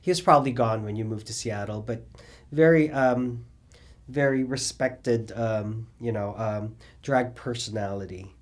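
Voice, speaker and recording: A middle-aged man telling his story in an oral history interview, rambling and animated, with many fillers and restarts. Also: fairly low pitch, unhurried. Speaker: interviewee, cabaret singer and drag performer.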